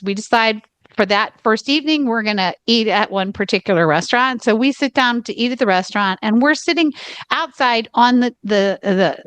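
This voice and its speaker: A woman's voice: medium pitch, a bit bright, dry recording. A woman with a Southern US drawl, co-hosting a podcast and telling a long travel story in rambling casual chat, often stopping on "okay.". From United States. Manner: rambling, keeps pausing on "okay". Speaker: podcast co-host.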